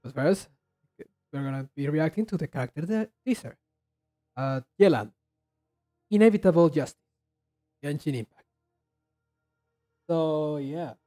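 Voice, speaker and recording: A man chatting casually and haltingly as he hosts a reaction video, rambling in a low-key way. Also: expressive, mellow, faint music behind. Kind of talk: casual chat, reacting to game trailers.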